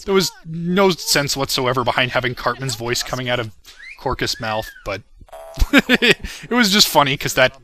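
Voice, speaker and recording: A man's voice: mid-range voice, big pitch swings, normal speed, bright, forceful tone. A man giving a casual, rambling commentary as the creator of a show, candid, self-deprecating and freely profane, often chuckling as they talk. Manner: chuckles often while talking. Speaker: creator recording a commentary on own parody series.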